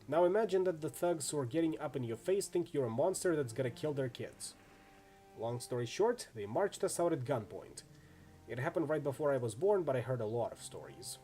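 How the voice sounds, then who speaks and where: A man's voice: mid-range voice, lively intonation, a little fast, dark tone, soft background music, lightly acted. A man voicing a friendly, easygoing orc swordsman in a video game, chatty and full of laid-back street slang. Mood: candid, wry. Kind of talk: acted reading of role-playing game dialogue.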